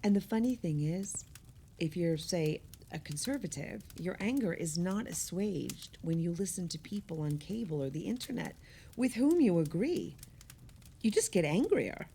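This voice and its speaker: A woman's voice: lowish voice, lively intonation, moderate pace, warm tone, a little hiss or hum. An actress playing an earnest, exasperated woman with wry opinions, speaking emphatically in conversational radio play dialogue.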